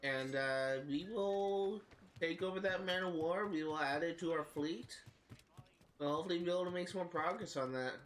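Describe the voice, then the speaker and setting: A man's voice: fairly high pitch, moderate pace, a little hiss or hum. Congested man streaming a game. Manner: rambling, with frequent uhs and coughs. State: congested head cold, scratchy throat. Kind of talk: casual gameplay commentary.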